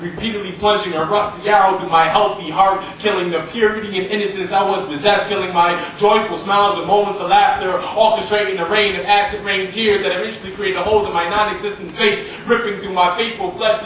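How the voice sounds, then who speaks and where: A man's voice: high voice, fairly bright tone. A young man performing spoken-word slam poetry in an impassioned, dramatic way with emphatic phrasing. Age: young adult. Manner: impassioned, dramatic delivery with emphatic repetition and alliteration. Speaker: slam poet. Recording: roomy, noisy live recording from far off.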